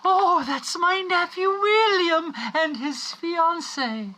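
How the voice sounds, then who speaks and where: High-pitched, theatrical old miser. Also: male voice, slow pace, pushed and bright, heavily acted, poor audio. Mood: dismayed. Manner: overacted, wailing, theatrical. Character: old male miser, gullible, theatrically dismayed. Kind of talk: parody radio play acting.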